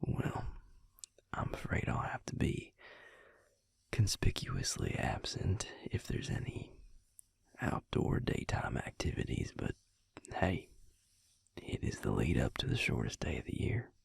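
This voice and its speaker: A man acts out a gentle, affectionate male vampire in an intimate audio roleplay. His voice is low and half-whispered, with a heavy gravelly fry. He lingers tenderly, hesitating between phrases. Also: big pitch swings, no echo, full character voice. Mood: amused, curious. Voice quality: breathy, husky, gravelly low murmur. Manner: slow, hesitant, tender, with long pauses.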